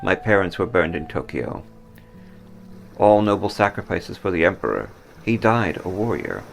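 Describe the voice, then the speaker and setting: A man reading a war story, playing a stern, fatalistic Japanese army captain who commands gravely. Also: low voice, lively intonation, normal speed, faint music behind. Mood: grim pride. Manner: grave, barking orders.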